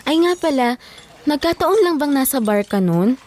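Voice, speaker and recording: A timid, kind young Filipino woman, with a high voice and a hesitant way of speaking. She is the easily flustered good girl of an audio drama. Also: animated, moderate pace, a little hiss or hum. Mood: sheepish, curious. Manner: hesitant, soft-hearted, flustered.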